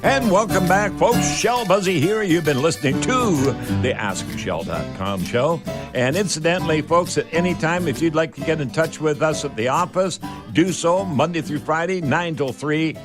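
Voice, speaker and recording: A man's voice: a little high, animated, normal speed, loud music behind the voice. An older Canadian man, sixty or over, hosting a home improvement show in a chatty, folksy, rambling way with catchphrases.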